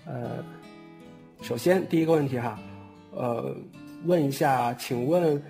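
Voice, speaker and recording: Hesitant man chatting over soft guitar. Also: medium pitch, slow pace, gravelly. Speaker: voice actor at a cast chat, later reading questions. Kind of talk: casual online cast chat. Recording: music behind the voice.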